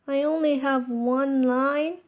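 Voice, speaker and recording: A woman's voice: sits high, steady tone, takes it slowly, a little mellow, muffled recording, pitched above the performer's own voice. A woman voicing an earnest, eager little schoolboy in a children's storybook. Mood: unsure.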